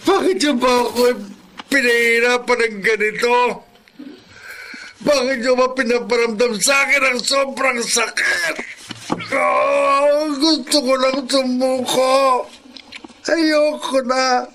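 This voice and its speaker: A gravely ill Filipino husband in despair. His voice is very high and sharp, with tearful, drawn-out anguish in radio-melodrama style. Clear background noise sits under it. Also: exaggerated voice. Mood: agonized. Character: gravely ill, despairing married man in agony.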